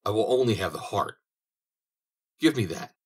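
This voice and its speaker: A man's voice: fairly low pitch, lively intonation, normal speed, husky, voice pushed up. A man voicing a stern, saintly old man who speaks in solemn, archaic phrases.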